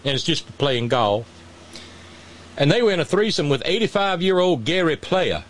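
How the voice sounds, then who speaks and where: A man's voice: on the high side, big pitch swings, normal speed, rough recording. A man hosting a casual political chat, sarcastic and mocking, often restarting his sentences. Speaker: podcast host.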